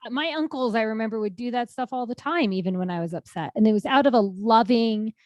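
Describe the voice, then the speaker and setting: Woman in her thirties, podcast co-host, animated, laughs a lot, dry close sound. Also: a little high, no room sound, muffled recording. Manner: animated, laughs often, quick asides. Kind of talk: casual chat.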